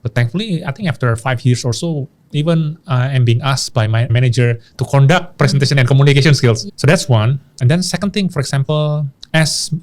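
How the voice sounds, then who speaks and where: A man's voice: mid-range voice, a little fast, hardly any pauses, darkish tone. A middle-aged man from Indonesia with an Indonesian accent, answering interview questions as he recounts his career in short, repeated phrases. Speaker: podcast guest, HR executive. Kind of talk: conversational interview answers, personal career storytelling.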